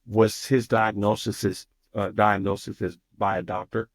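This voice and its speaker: A man's voice: fairly low pitch, level tone, moderate pace, no room sound, clean studio sound. A man hosting a family session, asking probing questions in a direct, coaching manner. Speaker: host counseling a family. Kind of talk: counseling talk.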